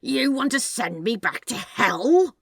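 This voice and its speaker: A woman's voice: on the high side, very animated, takes it slowly, creaky, slightly rough, no room sound, pitched above the performer's own voice, exaggerated voice. A woman voicing a wary, sardonic male demon in snake form for an audiobook story, with a dry, cautious delivery. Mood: offended, heated.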